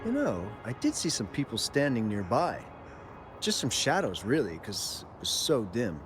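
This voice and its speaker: A man's voice: medium pitch, wildly swooping pitch. An actor playing a nervous, talkative young male miner recounting what he saw. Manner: talkative, jumpy, slows down when describing monsters. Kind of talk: game cutscene dialogue. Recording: game music underneath. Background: music.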